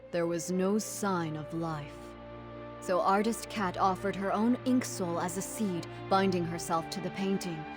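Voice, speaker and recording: A woman voicing a solemn narrator of an ancient myth in a video game, in a measured storybook style. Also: on the low side.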